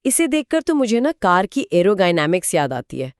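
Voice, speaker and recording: A woman's voice: mid-range voice, sing-song, normal speed, no echo, clean studio sound. A woman co-hosting a conversational explainer, speaking inquisitively and mixing English terms into her speech. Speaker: co-host of a financial explainer discussion.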